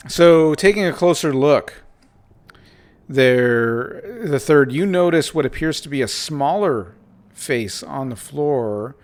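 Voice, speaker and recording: A man narrating a tabletop game as game master, improvising in halting phrases with pauses mid-sentence. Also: mid-range voice, lively intonation.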